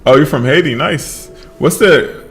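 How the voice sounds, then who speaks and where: A man casually chatting on a livestream while he paints, relaxed and slurring his words together, trailing off mid-sentence. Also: fairly high pitch, a little slow. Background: faint lo-fi background music.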